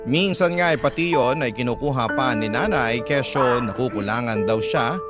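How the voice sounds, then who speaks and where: A man's voice: medium pitch, normal speed, over loud music. A man with a Filipino accent reading a first-person letter as scripted narration for a radio drama.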